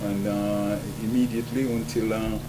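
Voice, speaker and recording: A man from Haiti, a volunteer doctor, earnestly recounting his experience with many pauses and fillers. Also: on the low side. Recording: steady humming background noise.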